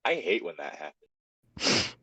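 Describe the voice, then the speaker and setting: A man's voice: deep voice, very animated, takes it slowly, sharp tone, breathy edge, no echo. A man co-hosting a podcast, chatting and reading aloud casually with loose, sweary banter. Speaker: podcast co-host.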